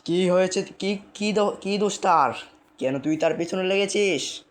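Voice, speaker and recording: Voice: medium pitch, measured pace, lightly acted. A performer voicing a bossy male village exorcist in a cartoon, scolding and commanding. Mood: threatening. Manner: commanding, insulting, chanting. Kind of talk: amateur cartoon voice acting.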